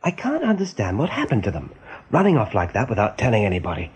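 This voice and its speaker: A kindly, level-headed male rabbit in a radio drama. He has a lowish, very husky, breathy voice. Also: wildly swooping pitch, fast talker, a little mellow, full character voice. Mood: concerned, puzzled. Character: steady, kindly male rabbit looking after his friends. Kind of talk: radio play acting.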